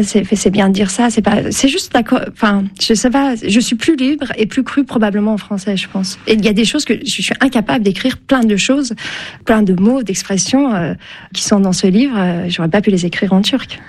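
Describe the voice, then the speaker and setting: A woman's voice: mid-range voice, moderate pace, a little mellow. A Turkish-born woman, a novelist whose first language is Turkish, speaking French in a radio interview, thoughtful and hesitant, with many fillers and restarts. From Turkey. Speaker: novelist guest.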